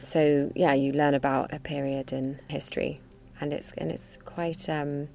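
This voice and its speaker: A woman's voice: sits low, flat delivery, measured pace, vocal fry, darkish tone. A woman, an actress chatting casually and a little hesitantly about her role. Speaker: actress being interviewed. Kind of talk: casual behind-the-scenes chat.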